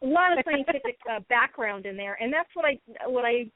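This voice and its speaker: A fairly high-pitched woman co-host talks earnestly and at length about the paranormal. She rambles through long sentences with small restarts. Her voice comes over a thin, phone-like line. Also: expressive, a little fast, no echo. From East Coast of the US. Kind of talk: casual radio chat.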